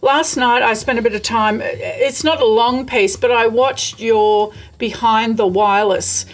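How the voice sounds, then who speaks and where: A woman's voice: fairly high pitch, fairly even intonation, unhurried, rough recording. A woman hosting a casual interview, speaking in an effusive, enthusiastic way. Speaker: interview host. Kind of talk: casual video call interview. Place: remote, not at the guest's location.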